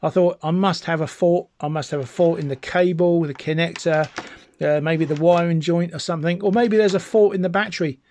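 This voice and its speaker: Higher-voiced British man, chatty hobbyist demo. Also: steady tone, fairly quick, darkish tone, a little hiss or hum. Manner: chatty, enthusiastic, rambling. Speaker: amateur radio enthusiast presenting a video. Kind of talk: informal product demonstration to camera.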